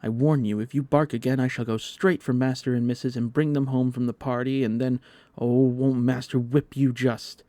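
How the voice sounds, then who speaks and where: A man's voice: mid-range voice, level tone, moderate pace, mellow, dry recording, voice pushed up, natural voice. Here is a stern housemaid from a storybook as a male narrator plays her. She scolds crossly and dismissively, in a slightly raised voice with a firm, threatening edge.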